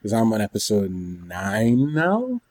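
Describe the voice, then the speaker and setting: A man with a fairly low voice co-hosts a podcast. He talks in a casual, rambling way, halting as he gathers his thoughts. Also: huge pitch swings, a little mellow, no echo. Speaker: podcast co-host. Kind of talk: casual chat about anime and games.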